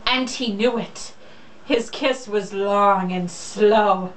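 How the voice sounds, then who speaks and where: A woman's voice: medium pitch, slow. A young woman reading a romance novel aloud casually, in a mocking, irreverent way. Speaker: reader. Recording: echoey room, distant mic, faint noise.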